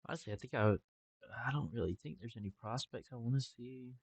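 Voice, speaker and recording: A young man talking casually over a game with a congested, stuffy nose and a relaxed, rambling manner. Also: mid-range voice, fast talker, vocal fry, breathy edge, dry recording. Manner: relaxed, rambling with filler ums. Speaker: gaming channel host. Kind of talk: casual gameplay commentary.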